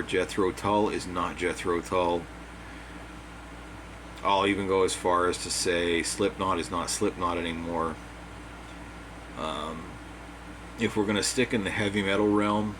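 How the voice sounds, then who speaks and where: A man with a hoarse, dry voice giving a casual, opinionated monologue as a music commentator, plain-spoken and repeating his points. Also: deep voice. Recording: air conditioner hum behind.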